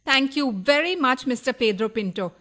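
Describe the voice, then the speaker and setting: A woman's voice: sits high, animated, normal speed, pushed and bright. A woman acting a needy, nagging burglar's wife in a radio farce, reproachful and wheedling in a melodramatic way. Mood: wounded, reproachful. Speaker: radio play actor. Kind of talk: farcical radio play acting.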